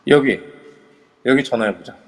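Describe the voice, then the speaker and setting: A man's voice: medium pitch, moderate pace, in a large hall, close to an everyday voice. An actor playing an anxious but determined young man in an audio drama, speaking with tense urgency. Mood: uneasy. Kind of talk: amateur audio drama acting.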